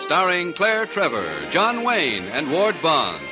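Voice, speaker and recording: A male announcer in 1940s radio style. His voice is fairly high and lively in pitch, delivered formally over clear music. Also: slow pace.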